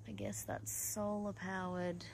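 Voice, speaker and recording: A woman's voice: fairly low pitch, sing-song, a little slow, creaky, darkish tone, rough recording. A woman giving casual, off-the-cuff commentary as she explores a campsite, musing aloud and trailing off. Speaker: camper filming a walk-around vlog. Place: outdoors at a bush campsite. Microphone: handheld phone or camera, behind the lens. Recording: outdoor handheld recording with background noise.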